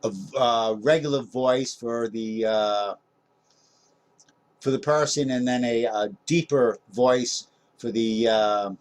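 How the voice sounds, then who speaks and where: A man casually narrating a screen-recorded software tutorial, rambling with many fillers and small jokes about himself. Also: medium pitch, slow pace. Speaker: tutorial host.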